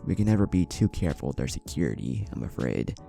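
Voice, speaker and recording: A man's voice: rumbling low, sing-song, quick pace, creaky, warm tone, faint music behind, full character voice. A man voicing a proud, protective older woman who leads a village, speaking soothingly and formally in a story. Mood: calm, authoritative.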